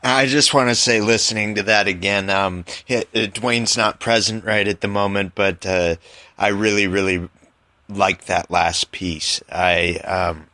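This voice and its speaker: A man hosting a podcast in casual, unscripted talk, halting and often repeating his words. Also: lowish voice, steady tone, sharp tone, dry recording. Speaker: podcast host.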